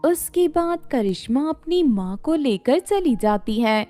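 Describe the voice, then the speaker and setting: A woman's voice: high-pitched, lively intonation, takes it slowly, dark tone, soft background music. A woman storyteller reading a scripted fairy-tale narration.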